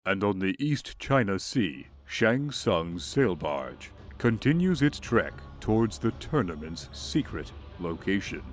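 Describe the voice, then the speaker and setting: A man's voice: low voice, big pitch swings, takes it slowly, hardly any pauses, faint music behind. A man giving dramatic scripted narration for a comic dub.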